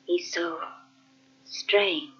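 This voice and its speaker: A woman's voice: fairly low pitch, fairly even intonation, very slow, slightly rough, full character voice. An actress playing a terrified mute young woman whose racing thoughts are spoken in breathless, clipped, repeated phrases in a radio suspense drama. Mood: frightened, bewildered. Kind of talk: old-time radio suspense drama acting. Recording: muffled old radio tape, faint music behind.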